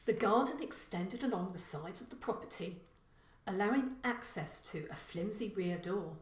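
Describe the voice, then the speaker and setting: A woman reading a short crime story as scripted narration, with deliberate, careful phrasing. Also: mid-range voice, expressive, recorded from a distance. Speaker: author reading own story. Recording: echoey room.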